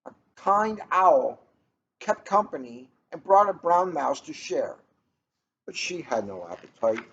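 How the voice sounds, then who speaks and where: A man reading a children's story aloud in an expressive, dramatic way, drawing out key words for emphasis. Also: a little high, unhurried, creaky, slightly rough. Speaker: storybook reader. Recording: noisy, dull lo-fi recording.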